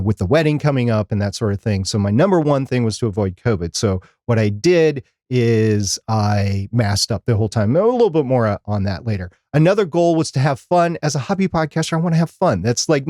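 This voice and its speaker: A man's voice: mid-range voice, darkish tone, dry recording, studio quality. A man co-hosting a podcast in a casual live-stream style, talking emphatically and repeating phrases for emphasis. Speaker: podcast co-host.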